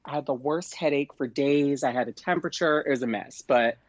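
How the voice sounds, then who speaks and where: A man's voice: medium pitch, fairly quick, no room sound. Effusive young man on a dull call-in line. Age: young adult. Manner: effusive, chatty, peppered with "like". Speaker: podcast guest, actor and comedian. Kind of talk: casual chat. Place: remote, calling in to the show.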